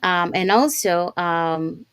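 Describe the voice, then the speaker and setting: A woman from Panama hosts a live online business show over a video call. Her voice is smooth and clear, and the sound is dry and close. She fills her talk with ums and uhs and restarts her sentences. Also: on the low side, takes it slowly, a bit bright, no echo. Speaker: host.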